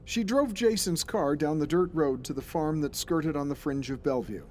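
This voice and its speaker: An actor playing a grave storyteller, narrating a friend's dark case in a scripted audio drama. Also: male voice, on the high side, normal speed, slight background noise, natural voice. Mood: grave, ominous.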